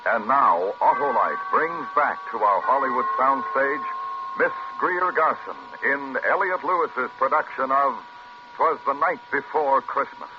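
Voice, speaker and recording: An American man introduces a radio show with grave theatrical weight. He speaks very slowly over prominent music, on a muffled old broadcast recording. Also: mid-range voice. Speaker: program announcer.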